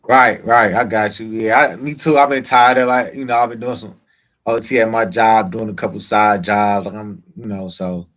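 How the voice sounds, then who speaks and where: A man's voice: lowish voice, steady tone, moderate pace, fairly bright tone. A middle-aged man co-hosting a casual podcast chat, laid-back and drawling, often repeating himself. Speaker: podcast co-host.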